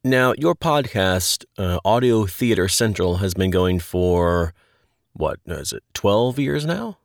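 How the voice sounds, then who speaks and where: Low-voiced male podcast interviewer. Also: big pitch swings, slow, gravelly, dry recording, clean studio sound. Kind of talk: conversational interview questioning.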